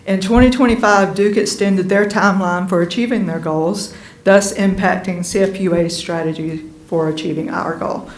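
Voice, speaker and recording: A woman's voice: fairly low pitch, fairly even intonation, normal speed, reverberant. Casual woman presenting slides. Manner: casual, filler-heavy. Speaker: utility staff filling in for a manager. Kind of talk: slide presentation at board meeting.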